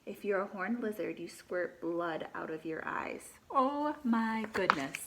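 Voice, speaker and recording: A woman reads a children's picture book aloud expressively, pausing for chatty asides and questions to her young listeners. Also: medium pitch, lively intonation, background noise.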